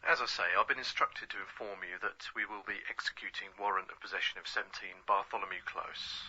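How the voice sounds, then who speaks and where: An actor playing an officious, unyielding bank official in a radio comedy, formal and impassive over the telephone. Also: male voice, on the low side, fast talker, bright, forceful tone. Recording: dull, thin telephone line.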